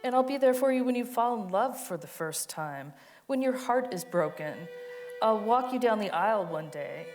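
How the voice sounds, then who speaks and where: A woman's voice: on the high side, lively intonation, normal speed, darkish tone, soft background music. A woman giving a dramatic reading of an autobiographical monologue, with a tender, emphatic storytelling delivery. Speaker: co-reader of a monologue.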